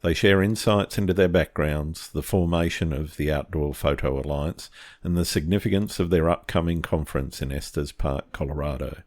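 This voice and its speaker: A man hosting an interview podcast, reading a scripted intro and then asking relaxed questions. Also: a bass voice, normal speed. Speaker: podcast host.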